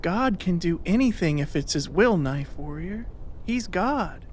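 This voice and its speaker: An actor playing a gentle, devout man of faith in an audiobook drama, solemn, calm and assured. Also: medium pitch, unhurried, slight background noise, lightly acted. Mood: reassuring. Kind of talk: full-cast audiobook drama acting.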